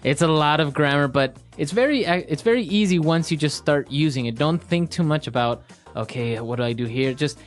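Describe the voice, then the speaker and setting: A man co-hosting an English-learning podcast, explaining grammar in a relaxed, casual way. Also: on the high side, brisk, faint music behind. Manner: relaxed, uses lots of fillers. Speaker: podcast co-host and English teacher. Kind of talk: casual teaching chat.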